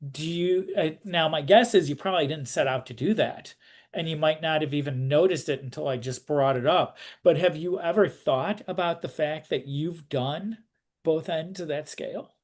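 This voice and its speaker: A man interviewing someone casually, with a halting, informal and roundabout way of putting things. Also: on the high side.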